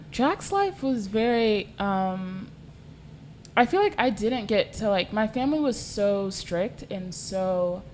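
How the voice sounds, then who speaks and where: A woman's voice: medium pitch, a little hiss or hum, poor audio. A woman in her twenties from South Sudan, a podcast guest chatting casually and filling her talk with "like" and "um". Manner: chatty, full of fillers like "like" and "um". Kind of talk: casual chat.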